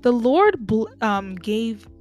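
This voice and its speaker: Woman host, casual and chatty, speaking to listeners over soft music. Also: fairly high pitch, big pitch swings, slow. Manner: chatty, conversational, addresses listeners directly. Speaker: podcast host. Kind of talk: casual faith talk.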